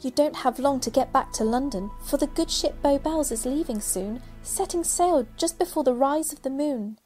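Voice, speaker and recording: A woman's voice: high voice, moderate pace, darkish tone, soft background music, natural voice. A woman playing a magical fairy spirit who narrates a pantomime radio play in theatrical rhyme. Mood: grand, playful. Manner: theatrical rhyming delivery.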